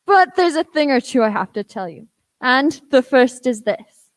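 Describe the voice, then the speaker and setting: Female actor as high-voiced bold boy hero. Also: lively intonation, normal speed, no room sound. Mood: defiant. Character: bold, earnest boy Jim Hawkins. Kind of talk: radio play acting.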